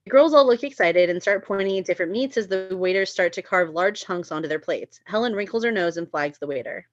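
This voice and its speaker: A woman's voice: mid-range voice, animated, a little fast, dry recording. Woman narrating a script, slightly dull audio. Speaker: narrator reading stage directions at a table read.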